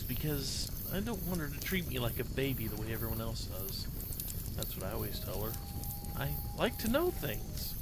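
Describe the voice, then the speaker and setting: A man's voice: medium pitch, natural voice. Man playing a young child patient, naive, earnest, simple phrasing, storybook narration, noisy background. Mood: earnest, subdued. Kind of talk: first-person dramatic story reading.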